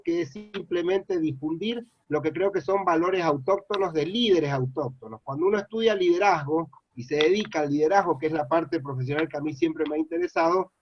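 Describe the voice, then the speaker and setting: A man's voice: on the high side, a little hiss or hum. A middle-aged man from Mendoza with an Argentine accent, giving an earnest, rambling public lecture full of "eh" and "este" fillers. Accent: Argentine Spanish. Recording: dull lo-fi dry sound.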